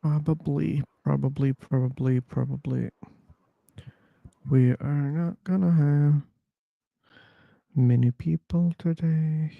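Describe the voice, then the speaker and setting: Slow gravelly male stream host. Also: a little high, fairly even intonation, no echo, narrow, tinny audio. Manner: slow, idle chatter while waiting, repeating words. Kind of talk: casual live-stream chat. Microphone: computer mic on a video call.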